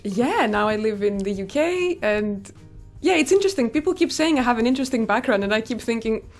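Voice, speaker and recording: A young woman from Greece chats with a bright, fairly high voice on a video call, as a guest in a casual interview. She is modest and chatty, and laughs easily. Soft music plays faintly behind her. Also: lively intonation, brisk. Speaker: guest, Greek teacher.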